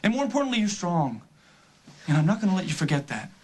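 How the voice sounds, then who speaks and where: An actor playing a devoted, protective and stubborn lover in a soap opera, speaking earnestly and haltingly as he pleads, with music underneath. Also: high-pitched, very animated, slightly rough, exaggerated voice. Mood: reassuring, protective. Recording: TV drama with prominent music underneath.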